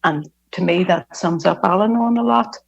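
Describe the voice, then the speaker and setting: A woman's voice: fairly low pitch, moderate pace, creaky, highs cut off, a little hiss or hum. A middle-aged woman from Northern Ireland with a Belfast accent, sharing her personal testimony at a recovery fellowship meeting in an earnest, reflective way with frequent hesitations.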